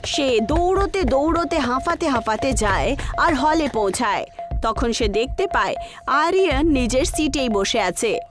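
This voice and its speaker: Female narrator for animated horror tales, high voice, scripted storytelling over a music bed. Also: a little slow. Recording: background music under the voice.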